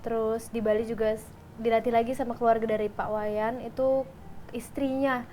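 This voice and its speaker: A woman's voice: a little high, little pitch movement, moderate pace, dark tone, slight background noise. A woman who is a guest actor, chatting casually in an interview.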